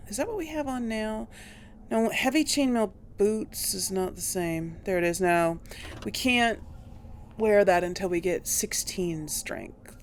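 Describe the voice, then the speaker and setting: A woman gives casual game commentary at an unhurried pace, musing to herself as she goes. Her voice has a frequent creaky edge, and there is some noise behind her. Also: medium pitch. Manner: thinking aloud, musing to herself. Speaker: host of a game playthrough series.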